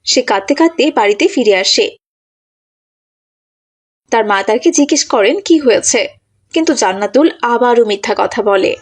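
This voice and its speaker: High-pitched woman narrating a children's story. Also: animated, normal speed, pauses often, slightly rough.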